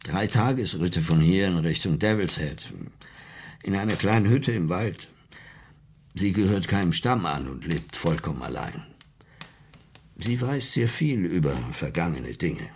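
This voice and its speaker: Ein Schauspieler spielt im deutschen Hörspiel einen höflichen, nachdenklichen Farmer, der früher Militärseelsorger war und seinen Glauben verloren hat. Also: male voice, low voice, expressive, unhurried, a little hiss or hum. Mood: reserved, helpful. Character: farmer, former army chaplain who lost his faith. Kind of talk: German radio drama acting.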